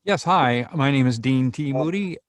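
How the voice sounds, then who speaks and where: A man's voice: medium pitch, normal speed. A man, an actor, introducing himself casually.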